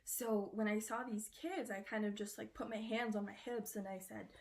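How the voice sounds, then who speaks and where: Woman, cosplayer interviewee, casual chatty delivery, middle pitch. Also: steady tone, normal speed, a little mellow. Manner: chatty, loose, with frequent fillers.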